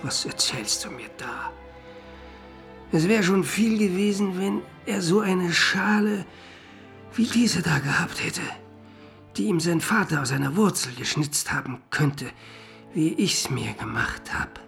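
Radio drama: earthy old dying father, gravelly, unhurried delivery. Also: sits high, expressive, a bit bright, soft background music, heavily acted. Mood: earthy, gruff. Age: older. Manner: rambling, colloquial, with gasped breathy phrases. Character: dying old peasant father, earthy, wine-loving, blissful.

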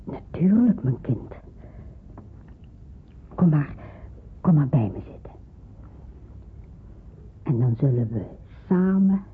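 A woman playing a devout, tender middle-aged aunt in a radio drama, husky and solemn, on an old narrow-band recording. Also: low-pitched, heavily acted. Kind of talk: Dutch radio drama acting.

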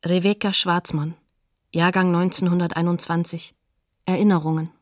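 Female narrator for radio documentary: slightly low, sober, measured, close dry recording. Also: flat delivery, no room sound.

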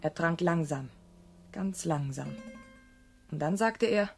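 A woman's voice: low-pitched, slow pace, creaky, soft background music, full character voice. An actress playing a proud, disdainful young woman with a cool, forthright manner in a radio play. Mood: wistful recollection. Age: young adult. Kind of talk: German radio play acting.

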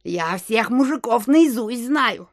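An old provincial landlady in an audio drama, thrifty, simple and wary. Her voice is creaky and rather high, recorded very dry. She speaks in a homely, hospitable way with a fretful edge. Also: animated, takes it slowly, no echo, exaggerated voice. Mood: plain, flustered. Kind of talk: audio play acting.

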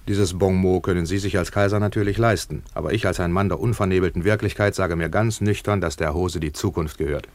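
Man acting a pompous, low-voiced business tycoon. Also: steady tone, brisk, hardly any pauses, darkish tone, dry recording, natural voice. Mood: self-assured. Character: brash, self-important tycoon trouser manufacturer in ancient Rome. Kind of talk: German radio play acting.

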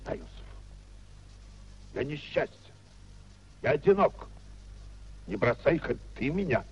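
Casting: proud ruined aristocrat, older, gravelly and husky, theatrical swings of pitch, old radio drama. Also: male voice, sing-song, unhurried, full character voice. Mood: self-pitying. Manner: theatrical, declamatory, indignant. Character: proud, bitter, ruined older nobleman raging at his wife. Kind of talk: 1950s Russian radio stage acting. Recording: dull, muffled 1950s radio recording.

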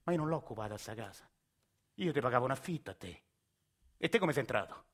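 A man's voice: mid-range voice, animated, fast talker, slightly rough. An actor playing an exasperated, insistent working-class Roman family man in a radio play. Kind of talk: radio theatre acting.

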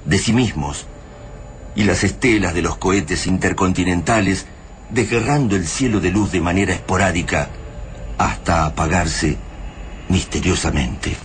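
An Argentine actor serving as solemn narrator of a radio drama, reading scripted lines with dramatic pauses. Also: male voice, low voice. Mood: bleak, solemn. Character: solemn male narrator of a post-invasion war tale. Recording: clearly noisy radio drama ambience.

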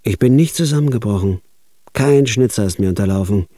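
A man's voice: lowish voice, expressive, brisk, warm tone, no room sound. A wry hitman narrator. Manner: dry, understated first-person narration. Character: nervous, wry hitman narrating his story. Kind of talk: radio drama narration.

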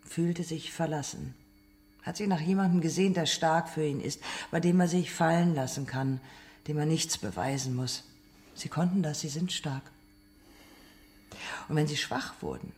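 A man's voice: on the high side, natural voice. Insistent male interrogator, played by an actor. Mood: pressing. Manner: insistent, pointed short phrases. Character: relentless male interrogator, accusing and probing. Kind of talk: German radio drama acting.

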